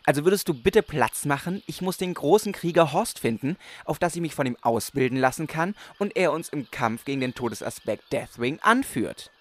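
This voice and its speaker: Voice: mid-range voice, lively intonation, moderate pace, hardly any pauses, lightly acted. An actor playing an earnest, exasperated young adventurer with a sarcastic, incredulous manner in a comic fantasy radio play. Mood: impatient.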